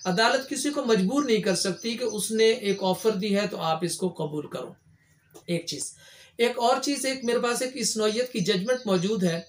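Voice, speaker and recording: Male legal presenter, Pakistani accent, informal explanatory lecture delivery, restates key points. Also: sits high.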